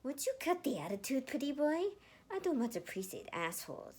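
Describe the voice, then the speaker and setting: Woman acting feisty young woman, blunt, scolding, lively swings, story heroine. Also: sits high, fairly quick, husky, voice pushed up, exaggerated voice. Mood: indignant. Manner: scolding, forceful.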